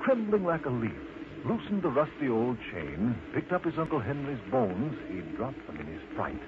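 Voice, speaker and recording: A man narrating a scripted children's story in an expressive, dramatic way. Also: medium pitch, moderate pace. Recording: muffled lo-fi audio with clear noise.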